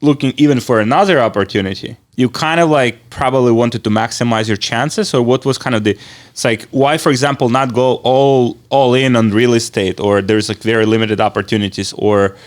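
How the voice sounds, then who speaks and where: Halting, rambling male interviewer. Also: medium pitch. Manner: halting, rambling phrasing with repeated filler words. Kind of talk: casual conversational interview.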